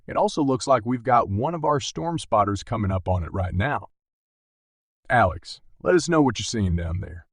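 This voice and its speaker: A man's voice: mid-range voice, animated, moderate pace, husky, no echo. A man playing an earnest, urgent TV meteorologist giving live severe weather coverage, emphatic and speaking straight to viewers. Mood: focused. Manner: emphatic, addresses viewers directly. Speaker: broadcast meteorologist.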